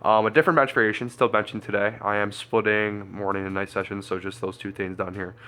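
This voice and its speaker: Stuffy-nosed male coach, low voice with a sharp bright edge, loose and chatty. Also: little pitch movement, quick pace. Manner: chatty, loose, thinks out loud with fillers. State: congested, stuffy nose. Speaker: strength coach and lifter. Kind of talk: casual training vlog.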